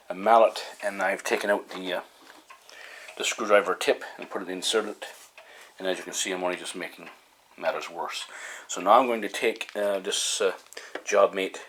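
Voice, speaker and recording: A man giving a casual hands-on repair demonstration, talking haltingly with frequent pauses mid-sentence. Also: lowish voice, fairly bright tone, a little hiss or hum. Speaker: hobbyist host of a repair video series.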